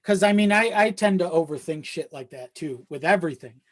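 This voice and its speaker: A man's voice: on the high side, animated, a little fast, fairly bright tone. A man chatting casually as a podcast guest, often checking in with the listener as he goes. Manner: conversational, frequent you-know check-ins.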